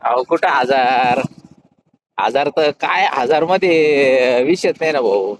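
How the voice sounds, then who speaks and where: A man's voice: mid-range voice, lively intonation, slow, a little hiss or hum. A man with a Maharashtrian accent, speaking informally as an election candidate. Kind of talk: informal phone call and spoken public statement.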